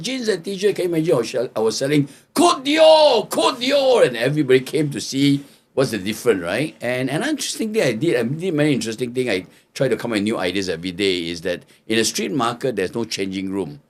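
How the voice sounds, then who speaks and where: An older man from Singapore, a podcast guest telling lively stories and mimicking hawkers' cries. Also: medium pitch, fairly quick. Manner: lively storyteller, mimics market hawkers' calls. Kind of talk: storytelling interview answers.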